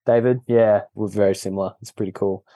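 An Australian man talks as a relaxed interview guest, often laughing and calling people mate. His voice is low and mellow, and the sound is dry and close. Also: a little fast, no echo. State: injured foot, on painkillers. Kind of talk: casual interview chat.

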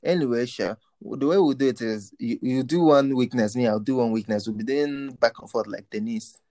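A man's voice: medium pitch, moderate pace, mellow, no room sound. Casting note: man, teasing co-host, mid-range voice, dry close mic. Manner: playful teasing, mixes English and Igbo. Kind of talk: casual banter.

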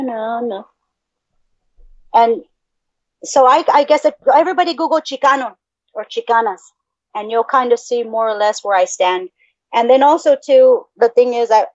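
A Mexican American woman from the Texas border co-hosting a casual podcast, excitable, laughing easily and swearing freely. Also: fairly high pitch, no echo, highs cut off. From Texas border region, USA. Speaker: podcast co-host. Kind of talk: casual chat.

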